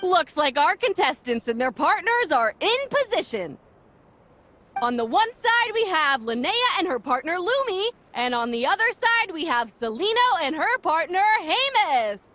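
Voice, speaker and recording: A woman voicing an excited contest announcer in a game, with showy announcer patter. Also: very high-pitched, moderate pace, full character voice.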